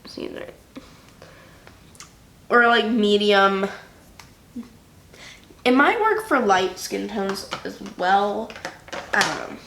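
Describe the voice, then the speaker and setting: A woman's voice: medium pitch, bright, forceful tone. A young woman chatting through a makeup tutorial, slightly nasal from a cold, with lots of fillers. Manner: chatty, filler-heavy, unhurried. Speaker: beauty vlogger. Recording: echoey room with faint noise.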